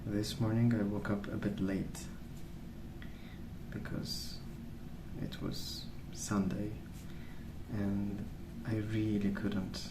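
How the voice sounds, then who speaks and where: Guy doing soft asmr rambling, really slow and hushed, kinda hesitant, roomy echo. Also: lowish voice, little pitch movement. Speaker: ASMR video host. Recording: roomy, distant mic with clear noise.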